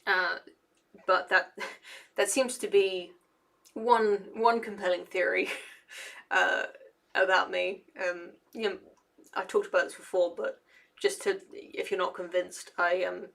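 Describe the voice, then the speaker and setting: Young woman engineer chatting to camera, gravelly voice, kinda flat, lots of ums and restarts. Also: lowish voice, slow pace, pauses often. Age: young adult. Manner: hesitant, rambling, many fillers and restarts. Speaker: engineer and video essayist. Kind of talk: casual commentary to camera.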